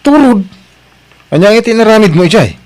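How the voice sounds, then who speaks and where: A man's voice: high voice, big pitch swings, fairly quick, darkish tone. A man playing a hard-working husband and father in need of a job, in an Ilocano radio drama with an Ilocano Filipino accent. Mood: curious, animated.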